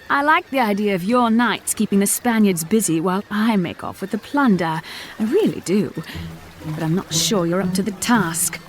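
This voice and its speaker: A woman's voice: mid-range voice, animated, unhurried, a little hiss or hum, lightly acted. Woman acting brash, sly pirate captain. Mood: sly, amused. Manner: teasing, cocky. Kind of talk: video game cutscene acting.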